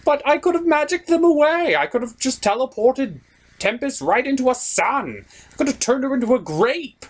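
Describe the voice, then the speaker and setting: A man's voice: extremely high pitch, lively intonation, normal speed, pitched above the performer's own voice. A man voicing an indignant, boastful male trickster in a comic puppet show, whining to be included.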